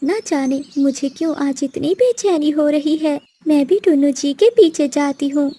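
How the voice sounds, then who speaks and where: A devoted young mother bird from a children's cartoon, voiced by a woman. She is high-pitched and soft-toned, earnest and plaintive, with an anxious temper. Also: measured pace.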